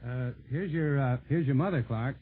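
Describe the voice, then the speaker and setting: A man's voice: medium pitch, moderate pace, husky. A man working as a radio announcer, handing over during a live 1940s broadcast. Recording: tinny, faintly noisy 1940s radio.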